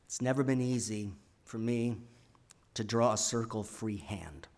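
An older man giving a sermon from a lectern in a church hall, telling a personal story in measured phrases with pauses and stressed words. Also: fairly low pitch, fairly even intonation, a little mellow. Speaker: lay speaker giving a sermon. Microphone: gooseneck lectern microphone.

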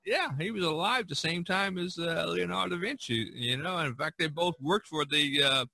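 Bright, husky-voiced man hosting a podcast. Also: a little high, moderate pace, no room sound. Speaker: podcast host. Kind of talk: casual, rambling art discussion.

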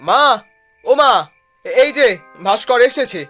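Man acting a high-voiced caring young friend. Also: animated, slow pace, fairly bright tone, soft background music, full character voice. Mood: cheerful. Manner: casual, informal with friends. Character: young village man, caring friendly host to his pals.

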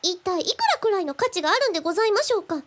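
A woman's voice: very high-pitched, lively intonation, racing, fairly bright tone. A woman voicing a precocious little girl in a radio drama, with archaic, ceremoniously polite, inquisitive speech. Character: precocious genius little girl with quaint old-fashioned formal speech.

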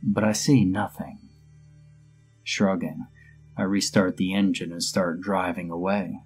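A man narrating a first-person horror story in a steady storytelling voice with dry humor. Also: low-pitched, unhurried. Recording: music bed under the voice.

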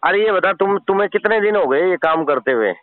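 Guy on a phone call, kinda high voice, narrow tinny sound, keeps pushing with questions in a casual argument. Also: animated, brisk, dry recording. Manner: informal, probing, repeatedly demanding to be heard. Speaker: scam-baiter confronting a caller.

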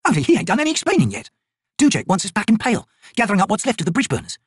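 A man's voice: sits high, very animated, very fast, slightly rough, dry recording, studio quality, pitched above the performer's own voice, heavily acted. A man voicing a scrappy, sarcastic army sapper in a fantasy audiobook, colloquial and needling. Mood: griping, sarcastic.

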